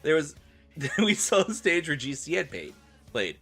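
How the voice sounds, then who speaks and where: Male streamer for comedic dramatic reading: theatrical, fairly high pitch, very animated delivery. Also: unhurried, soft background music. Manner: theatrical, expressive.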